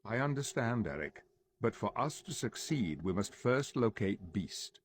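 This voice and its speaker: Team-leading professor, measured, authoritative, lowish, animated intonation, faint ambience, game cutscene. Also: male voice, slight background noise. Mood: grave, calming. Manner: measured, formal. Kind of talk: video game cutscene acting.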